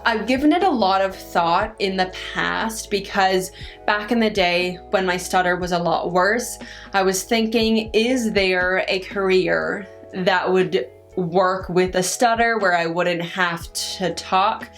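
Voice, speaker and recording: A young woman talks through viewer questions at an unhurried, slow pace, often catching on the first sound of a word and restarting her sentences. Her pitch sits in an ordinary middle range for a woman. Soft guitar music plays faintly beneath her voice. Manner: stutters, repeats first sounds of words; halting, many restarts and pauses. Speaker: vlogger answering viewer questions. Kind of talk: casual Q&A chat.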